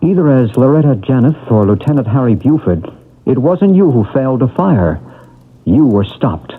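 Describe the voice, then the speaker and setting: An actor playing a calm, soothing past-life regression expert in a 1970s radio drama. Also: male voice, medium pitch, slightly rough. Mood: reassuring. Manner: soothing, measured and hypnotic. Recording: tinny old radio broadcast.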